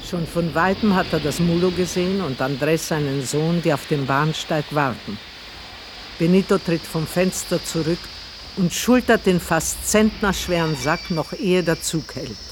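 Narrator for radio drama: male, higher register, literary read, light ambient noise. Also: unhurried.

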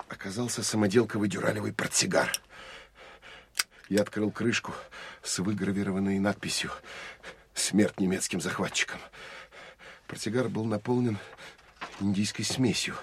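Give a low, measured male voice from an actor as a weary, self-critical Soviet counterintelligence officer in a radio play. He narrates in terse, introspective phrases. There is faint hiss and clicking on the recording. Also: close to an everyday voice. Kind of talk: Russian audio drama first-person narration.

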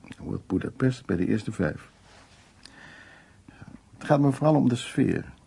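An actor playing a genial, stubborn older art restorer in a radio drama, with a husky, gravelly voice and an informal, teasing manner. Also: male voice, mid-range voice, expressive, unhurried, warm tone, heavily acted. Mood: amused, teasing. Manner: informal, teasing, dismissive of worries. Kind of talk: naturalistic radio drama acting.

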